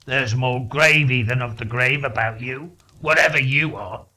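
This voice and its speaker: A man's voice: mid-range voice, unhurried, pushed and bright. A man voicing a grumpy, skeptical miser rattled by fear in a dramatic storybook reading, with a blustering, emphatic manner. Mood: defiant.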